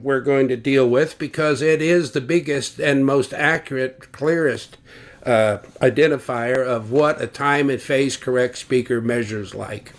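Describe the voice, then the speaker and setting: An older man slowly explaining loudspeaker measurements, talking deliberately with fillers and restarts, recorded at home on a webcam. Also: medium pitch. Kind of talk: technical explanation with drawings. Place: home living room, indoors.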